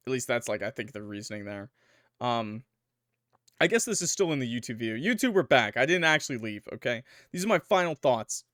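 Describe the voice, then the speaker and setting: A young man giving casual, rambling let's-play commentary as a gaming YouTuber, chatty and quick to laugh, his voice a little worn. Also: mid-range voice, big pitch swings, fairly bright tone, dry recording, studio quality. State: losing his voice.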